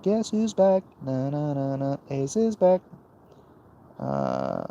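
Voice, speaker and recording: Gravelly man chatting on a livestream. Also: mid-range voice, huge pitch swings, takes it slowly, mellow, lo-fi sound, a little hiss or hum. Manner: blunt, dismissive, mutters and hums between thoughts. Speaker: livestream host reading and answering chat comments. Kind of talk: casual opinionated livestream chat.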